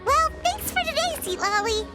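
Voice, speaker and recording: A woman voicing a tiny childlike girl companion in a video game, cute, squeaky, cheeky and chatty. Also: lively intonation, normal speed, sharp tone, faint music behind, heavily acted. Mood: cheerful. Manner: squeaky, cute, speaks of herself in third person. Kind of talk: English video game voice acting.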